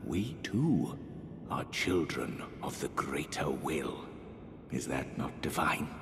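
Fantasy game casting: eerie, genteel high priest, older, unhurried and breathy, grandiloquent, set in echoing reverb with faint rumble. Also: male voice, very animated, full character voice. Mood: rapturous. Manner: theatrical, archaic, drawn-out phrasing. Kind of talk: dramatic fantasy game character dialogue. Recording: echoey hall reverb, faint rumbling noise.

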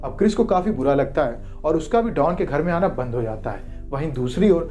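A man's voice: a little high, expressive, a little fast, mellow. A man narrating a movie recap from a script, with music behind him. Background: background music.

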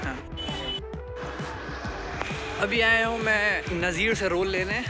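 Chatty young man with a high voice. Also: steady tone, slow pace, over loud music. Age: young adult. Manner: chatty, upbeat, talks straight to viewers. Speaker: vlogger. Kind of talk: casual vlog chat to camera. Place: home room, indoors. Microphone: phone held at arm's length.

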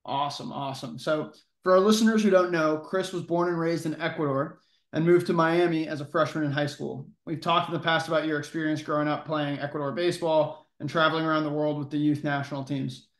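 Higher-voiced male podcast host in an echoey room. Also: steady tone, brisk. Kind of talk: podcast hosting, read intro then questions.

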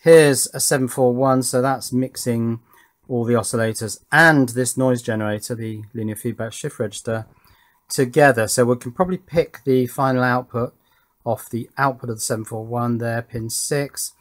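A man's voice: medium pitch, steady tone, moderate pace. A man chatting casually as he explains his circuit board build at a home workbench, with wry asides along the way. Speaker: electronics hobbyist presenter.

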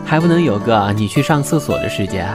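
A man's voice: medium pitch, animated, fairly quick, loud music behind the voice, close to an everyday voice. A man playing a prickly, curt young academic with a grumbling manner in an audio drama. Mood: dry, teasing. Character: prickly, curt young academic, secretly fond of his boyfriend.

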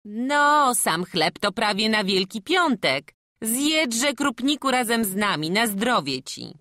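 Casting: woman doing a loud, motherly, bossy farmwife, voice pushed up high, very lively. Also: slow pace, no echo, studio quality, heavily acted. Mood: fussing. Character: kindly, bossy peasant farmwife.

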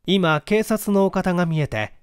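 Male audiobook narrator, fairly high voice, expressive and measured literary delivery, dry close-miked sound. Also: clear, resonant tone, dry recording. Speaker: audiobook reader, stage actor. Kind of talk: dramatic scripted narration of a classic detective story.

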